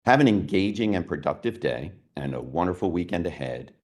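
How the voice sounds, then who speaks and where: A man giving a prepared opening speech as a state education official, in an earnest, steady and formal way. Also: low-pitched, a little fast.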